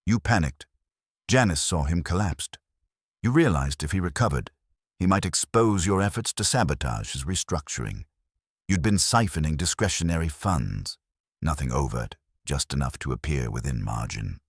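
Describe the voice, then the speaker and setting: A man plays a coldly logical detective in a mystery audiobook, with an extremely low, husky voice. He speaks formally and accusingly, his pitch swinging with emphasis. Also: lively intonation, moderate pace, no room sound, clean studio sound, heavily acted. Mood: accusing, controlled. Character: commanding, coldly logical male detective confronting suspects. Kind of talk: audiobook detective story dialogue.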